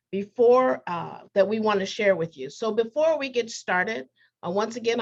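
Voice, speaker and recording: A woman judge introducing her fellow panelists in a gracious, measured way with some fillers. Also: mid-range voice, highs cut off.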